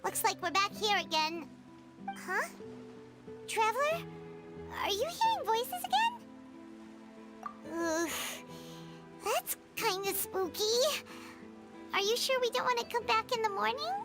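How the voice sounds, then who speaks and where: A woman voicing a small, chirpy, easily spooked fairy companion in a video game, with a squeaky childlike voice and an exclamatory way of talking. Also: big pitch swings, takes it slowly, long pauses, exaggerated voice. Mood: uneasy, alarmed. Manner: refers to self in third person, exclamatory. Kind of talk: animated video game voice acting. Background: music.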